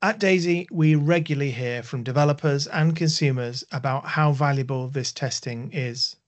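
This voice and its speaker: A man's voice: medium pitch, normal speed. A man hosting a webinar, formally thanking and introducing speakers from a home office. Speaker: webinar host. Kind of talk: scripted webinar links.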